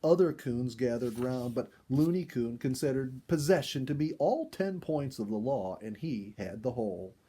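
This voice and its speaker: A man reading a children's story aloud as an expressive storyteller in scripted narration. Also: mid-range voice, normal speed, hardly any pauses, slightly rough.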